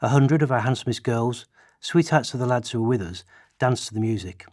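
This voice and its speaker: A man playing an earnest radical orator and writer from history in a radio play, with deliberate, declamatory delivery. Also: medium pitch, lively intonation, a little mellow, no room sound, studio quality, natural voice. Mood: solemn recollection.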